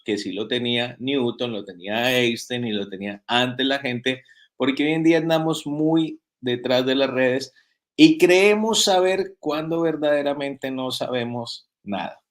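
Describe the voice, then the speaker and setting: A man's voice: medium pitch, unhurried. Man preaching through rhetorical questions. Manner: earnest teaching tone with rhetorical questions. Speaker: pastor and author. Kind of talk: interview guest. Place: home in Spain. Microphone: video call.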